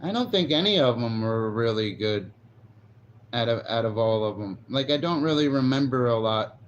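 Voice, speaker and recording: A man's voice: mid-range voice, expressive, moderate pace, dull audio, a little hiss or hum. A forty-year-old man livestreaming, chatting casually and rambling, swearing now and then. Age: 40s. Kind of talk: casual live stream chat. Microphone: phone on a selfie stick.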